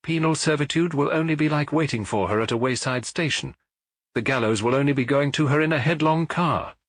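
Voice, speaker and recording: A man's voice: fairly high pitch, brisk, no echo, studio quality, pitched above the performer's own voice, lightly acted. A male narrator playing a self-assured sun-cult prophet in an audiobook detective story, with lofty, declamatory delivery. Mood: defiant, exalted.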